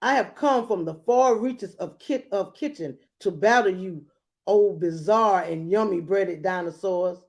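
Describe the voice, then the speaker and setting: A woman's voice: mid-range voice, measured pace, a little mellow, lightly acted. Woman as pompous dinosaur chicken nugget monsters. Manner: pompous, declamatory.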